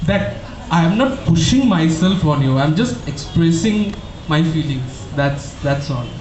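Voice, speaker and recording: A man's voice: a little high, big pitch swings, very slow, a little mellow, a little hiss or hum, heavily acted. A man playing a caring, teasing young college student who keeps the peace among friends in an audio drama. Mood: earnest, resigned. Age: young adult. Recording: dull echoey recording under music.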